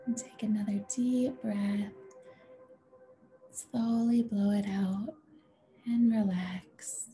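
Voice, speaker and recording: A woman leading a guided meditation in a gentle, soothing, unhurried way, with a soft, breathy voice that often nears a whisper. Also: medium pitch, steady tone. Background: music.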